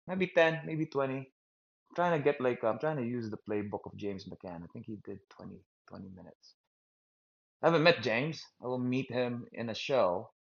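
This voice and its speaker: A man from the Philippines with a Filipino accent, hosting his own vlog in a halting stream-of-consciousness monologue, often repeating words and poking fun at himself. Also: medium pitch. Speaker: host. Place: outdoors in a park. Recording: dull lo-fi sound.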